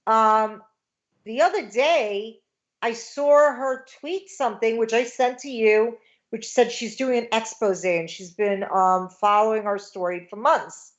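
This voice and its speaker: A woman's voice: a little high, a little slow, muffled recording. A woman in her fifties, a guest on a casual livestream chat, talking indignantly in a rush with many fillers. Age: 50s.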